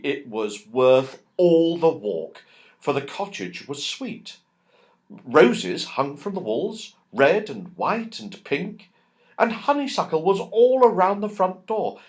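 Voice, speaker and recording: A man narrating a children's storybook expressively, drawing out key words for emphasis. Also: a little high. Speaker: audiobook narrator.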